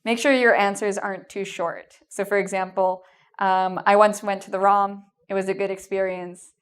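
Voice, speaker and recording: A woman in her thirties with a Canadian accent, teaching an exam-prep English lesson in a clear, patient, encouraging way in front of a whiteboard. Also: medium pitch, little pitch movement, normal speed. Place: indoor studio in front of a whiteboard.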